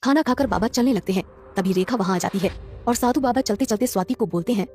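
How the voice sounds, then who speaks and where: A woman's voice: mid-range voice, fast talker, darkish tone, faint music behind. A woman reading scripted narration for a cartoon moral story.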